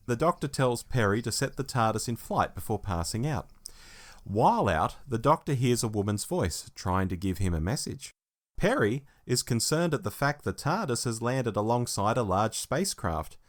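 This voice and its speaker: A man hosting a review podcast, reading out a prepared plot summary in a storytelling way. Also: medium pitch. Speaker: podcast host.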